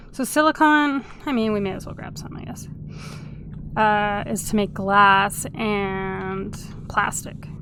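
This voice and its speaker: A woman's voice: mid-range voice, background noise. A woman with a sniffly cold, casually commenting while she plays a game and humming little tunes to herself. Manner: chatty, hums and sings little tunes while playing. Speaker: gameplay video host. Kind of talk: casual let's-play commentary.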